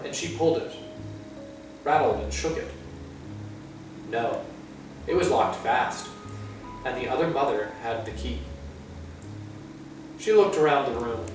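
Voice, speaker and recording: A man reading a children's story aloud as narrator, with measured, expressive phrasing. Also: mid-range voice. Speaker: storytime reader. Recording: echoey room, far from mic, music.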